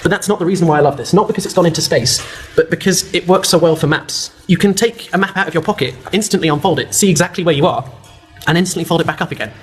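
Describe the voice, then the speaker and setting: A man's voice: fairly high pitch, quick pace, faint music behind. A man giving an eager, explanatory demonstration as a guest presenter, showing how a paper fold works as he talks.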